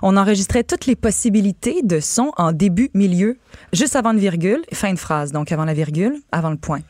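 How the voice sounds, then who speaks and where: Une femme québécoise, voix de synthèse, invitée en studio radio, parle d'un ton détendu avec beaucoup d'hésitations et des rires faciles. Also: female voice, mid-range voice, a little fast, no room sound. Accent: Québécois French. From French Canada. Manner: relaxed, laughs easily, many fillers. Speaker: synthetic voice talent, guest.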